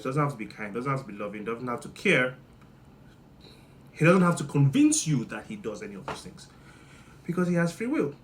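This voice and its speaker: A man from Nigeria with a Nigerian accent, giving a joking monologue that swings between loud bursts and low muttering. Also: a little hiss or hum. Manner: playful, teasing, jumps between loud and muttered. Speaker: monologue host. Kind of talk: comedic monologue.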